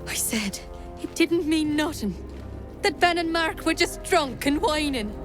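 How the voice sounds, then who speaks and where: A woman's voice: high-pitched, animated, measured pace. An actress playing a frightened, guilty woman in a video game, pleading her innocence defensively. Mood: fearful, pleading. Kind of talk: video game character acting. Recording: music behind. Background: game music.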